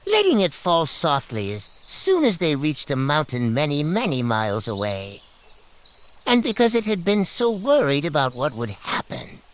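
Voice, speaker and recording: A storyteller reading a folk tale aloud in a theatrical way, stretching words for emphasis. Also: medium pitch.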